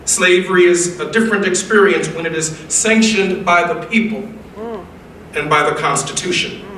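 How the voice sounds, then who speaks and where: A fairly high-voiced American man gives a formal speech in a heavily echoing room, picked up from a distance. His delivery is measured and emphatic, in an oratorical style that leans on repeated phrases like a preacher. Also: fairly bright tone. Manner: measured, emphatic, rhetorical repetition. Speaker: guest speaker delivering remarks. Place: large echoey indoor event room. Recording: very echoey room, distant mic, faint noise.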